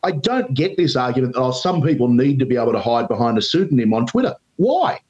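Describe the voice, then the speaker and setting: A man's voice: very animated, fast talker, a little mellow. Emphatic Australian man on a dull line. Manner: emphatic, pitch leaps when indignant, frequent ums. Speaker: guest radio talkback host. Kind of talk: opinionated commentary in an interview.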